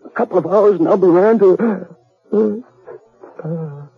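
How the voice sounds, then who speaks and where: An American actor playing a gravely injured, remorseful cowboy in a 1950s radio western, husky, weak and gasping. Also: male voice, high-pitched, unhurried, full character voice. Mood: stubborn, fading. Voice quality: husky, creaky, strained. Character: gravely injured cowboy, jealous husband turned remorseful and forgiving. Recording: muffled 1950s radio recording, music.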